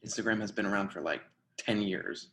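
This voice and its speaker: A man's voice: lowish voice, a little fast, a bit bright. A man reading the part of a supportive, level-headed friend in a play, casual and plainspoken, over a video call. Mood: concerned, casual. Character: supportive, level-headed writer friend, works serving coffee.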